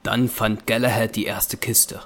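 A man's voice: on the low side, flat delivery, unhurried, a little hiss or hum. A man narrating a scripted audio drama in storytelling prose.

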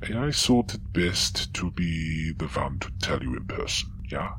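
Sci-fi drama: blunt alien counselor, very low voice, Austrian-style accent, tag questions, noisy backdrop. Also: male voice, big pitch swings, unhurried, fairly bright tone, exaggerated voice. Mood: clinical, frank. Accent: Austrian-sounding. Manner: frank, tags statements with "yeah?". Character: blunt, clinical male alien counselor from Tellar. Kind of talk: sci-fi audio drama acting.

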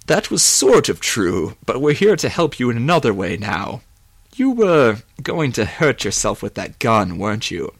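A man voicing a calm, persuasive manipulator with a measured, insinuating delivery in an audiobook. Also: mid-range voice, no room sound. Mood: calmly coaxing. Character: calm, persuasive manipulator coaxing toward revenge. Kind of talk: audiobook character voice.

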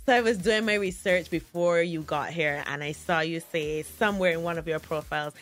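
A woman hosting a radio show, interviewing a guest in a bubbly, teasing way with quick affirmations. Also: on the low side, soft background music. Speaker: radio host.

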